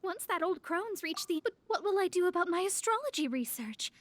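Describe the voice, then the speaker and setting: A woman's voice: extremely high pitch, animated, fairly quick, fairly bright tone, dry recording. An actress voicing a proud young female astrologer who turns flustered and stammery in a video game. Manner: haughty, stammers when embarrassed. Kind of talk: game voice acting.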